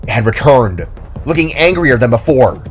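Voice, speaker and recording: A man's voice: medium pitch, sing-song, moderate pace, soft background music. A man narrating a scripted adventure story in a dramatic style.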